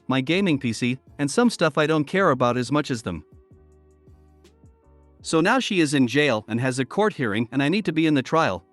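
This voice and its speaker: A man with a slightly high voice reads online stories aloud in an even, steady way. The sound is dry and close, with faint music playing underneath. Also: no room sound. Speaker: narrator. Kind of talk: reading online posts aloud.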